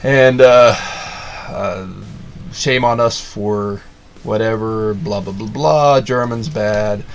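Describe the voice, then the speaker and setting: A man's voice: lowish voice, slow, a little hiss or hum. Sarcastic man ranting in a casual review. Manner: sarcastic, rambling, full of fillers and restarts. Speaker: video reviewer.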